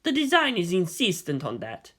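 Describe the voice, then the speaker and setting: A man voicing a calm, earnest ambassador in a story reading, with a formal, diplomatic manner. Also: sits high, moderate pace, heavily acted. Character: deferential, persuasive male ambassador, calm and earnest.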